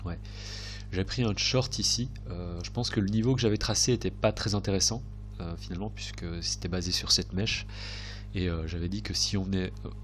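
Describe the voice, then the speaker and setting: A man's voice: low voice, brisk, slight background noise. A man with a slightly congested, cold-affected voice, giving hesitant, unscripted chart commentary as a trading video host, often correcting himself as he thinks aloud.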